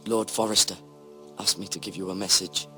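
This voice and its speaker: An actor playing a dutiful, wronged young squire, grieving and earnest, in a fantasy game drama. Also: male voice, deep voice, steady tone, brisk, pushed and bright, faint music behind. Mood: earnest, subdued.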